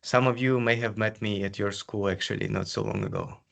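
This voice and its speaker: A man's voice: lowish voice, huge pitch swings, fairly quick, creaky, fairly bright tone. A man from Kazakhstan who works as an international student advisor, talking in a friendly, easygoing way on a video call. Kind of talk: casual panel talk.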